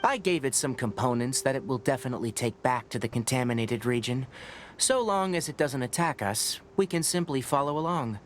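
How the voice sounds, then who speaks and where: An actor playing a calm, scholarly young forest ranger in a video game, measured and patient as he explains. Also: mid-range voice, a little hiss or hum, lightly acted. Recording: game audio with clear ambience.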